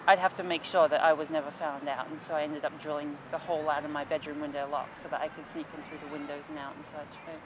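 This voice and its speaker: A twenty-year-old woman telling her life story in an interview, soft and hesitant with many ums. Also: sits low, little pitch movement, fast, few pauses, noisy background.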